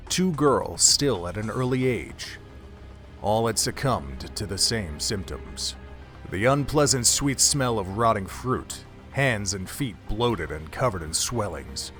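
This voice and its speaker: A man's voice: on the low side, a bit bright. Man narrating dramatically over music. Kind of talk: scripted audio drama narration.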